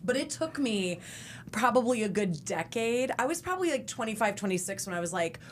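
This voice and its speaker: A woman in her thirties with a lower voice, hosting a podcast interview enthusiastically at a desk mic. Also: big pitch swings, a little fast, slight background noise. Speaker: podcast host. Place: purple-lit podcast studio. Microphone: desk mic on boom arm.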